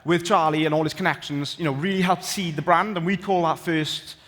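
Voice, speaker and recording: A man's voice: on the high side, fairly even intonation, a little fast, a bit bright. A young man with a strong Welsh accent from Swansea, chatting casually through a founder's talk with slides, often restarting his sentences. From Wales. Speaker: company founder giving a talk. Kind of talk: conference presentation with slides. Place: large conference hall. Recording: long hall reverb.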